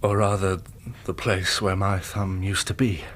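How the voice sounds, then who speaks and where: An actor with an English accent playing an injured, overwrought but polite engineer in a period radio drama, formal and excitable. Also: male voice, sits low, a little slow. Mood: shaken, restrained.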